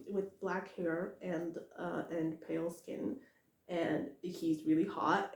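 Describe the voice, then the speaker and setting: A woman's voice: fairly low pitch, roomy sound. A young woman in a casual rambling monologue, giggling often and filling with many "uh"s and restarts. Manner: giggly, many fillers and restarts, excited asides. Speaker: podcaster talking about a book.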